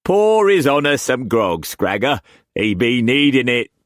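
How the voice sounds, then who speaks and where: A man voicing a boisterous pirate chief who speaks rough Cockney slang, jovial but menacing. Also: medium pitch, big pitch swings, unhurried, no room sound, studio quality, pitched above the performer's own voice.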